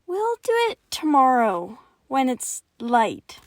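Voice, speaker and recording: A woman's voice: sits high, very animated, slow pace, full character voice. A woman playing a skeptical, outwardly bold young friend in an audio play. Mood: bold bravado.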